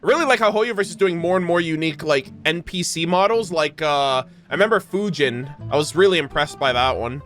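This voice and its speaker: A video game streamer giving casual, slangy, expressive reaction commentary with some swearing over game music. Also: mid-range voice, a little fast, hardly any pauses.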